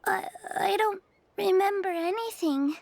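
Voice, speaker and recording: A woman voicing a timid, frightened young girl in a video game, speaking hesitantly and haltingly. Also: very high-pitched, vocal fry, dry recording, exaggerated voice. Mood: frightened, tearful.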